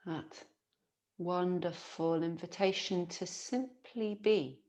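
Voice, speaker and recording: A woman, teaching yoga nidra as a guided relaxation in a soothing, gentle manner with long pauses. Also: lowish voice.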